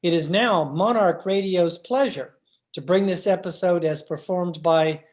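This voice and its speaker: A woman announcer with a fairly low voice reads a scripted introduction. She presents in the formal manner of old-time radio. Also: a little slow, a little mellow.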